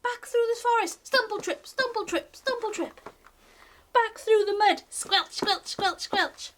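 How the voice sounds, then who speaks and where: A woman reading a children's picture book aloud in an expressive, singsong way, speaking directly to young listeners as a library storytime reader. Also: very high-pitched, measured pace.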